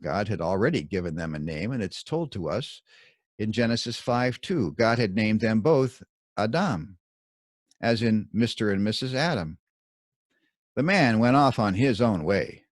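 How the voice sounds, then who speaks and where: A man reading his own scripted scripture commentary in a measured, emphatic teaching manner. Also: on the low side, lively intonation, no echo, clean studio sound.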